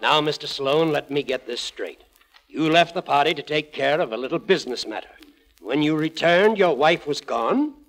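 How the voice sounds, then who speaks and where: A man's voice: a little high, animated, moderate pace, fairly bright tone, soft background music. A man playing a pompous, blustering magazine publisher in 1940s radio comedy style, easily outraged and declamatory. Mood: stern, suspicious.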